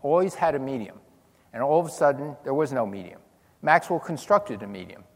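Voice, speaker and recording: Older male lecturer, mid-pitched, wry storyteller, big reverberant hall. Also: fairly quick, creaky. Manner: animated storytelling with dry humor. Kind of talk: physics lecture to an audience.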